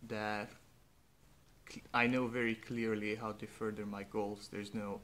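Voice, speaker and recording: A young man, a native Hungarian speaker, speaking slowly and earnestly in a reflective personal monologue with faint background noise. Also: lowish voice, little pitch movement, a bit bright. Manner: slow, thoughtful, earnest. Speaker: vlogger.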